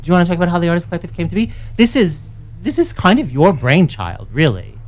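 A man hosting a morning radio show in a studio, chatty and a little halting, laughing easily. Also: sits high, big pitch swings. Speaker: radio host. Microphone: studio microphone.

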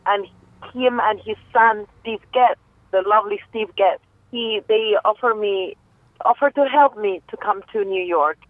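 A woman's voice: mid-range voice, a little slow, dry recording. A woman with a Peruvian Spanish accent, a musician guest talking playfully over the phone. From Peru. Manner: lively, playful, laughs easily. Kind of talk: phone interview. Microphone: telephone. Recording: tinny phone line.

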